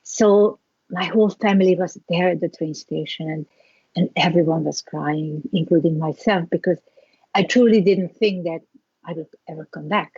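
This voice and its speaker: Guest: middle-aged Hungarian-accented woman, reflective, halting delivery, dry dull recording. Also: on the low side, a little mellow, breathy edge, no room sound. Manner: reflective, halting with many restarts, laughs lightly. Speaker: guest, skincare founder. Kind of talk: interview storytelling.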